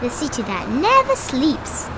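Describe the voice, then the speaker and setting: A woman voicing a friendly, brisk female rabbit who works as a taxi driver and tour guide in a children's storybook. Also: sits high, huge pitch swings, noisy background, heavily acted. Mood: informative. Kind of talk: animated children's storybook read-aloud.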